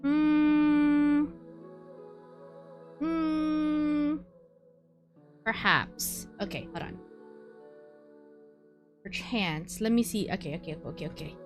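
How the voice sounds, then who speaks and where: Slow-paced woman streamer over clear music. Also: high voice. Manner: muttering to self, thinking aloud. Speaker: livestreamer, VTuber. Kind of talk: casual live gaming stream chat. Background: background music.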